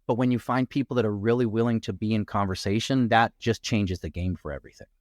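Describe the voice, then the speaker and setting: A man who works as a coach, speaking as a guest in a casual podcast conversation in a reflective, measured way. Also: lowish voice, no room sound, studio quality.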